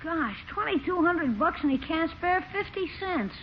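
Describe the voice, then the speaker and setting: Very high-pitched pestering young boy. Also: animated, a little slow, loud music behind the voice. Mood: disappointed. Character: pestering young boy nephew, cheeky and persistent. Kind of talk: 1940s radio comedy acting. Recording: very noisy, dull 1940s radio recording.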